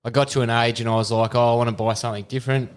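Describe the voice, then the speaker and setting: A man's voice: mid-range voice, flat delivery, racing, clear, resonant tone. Laid-back Australian man telling stories. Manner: laughing, easygoing storyteller. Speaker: podcast co-host. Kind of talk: casual chat, storytelling.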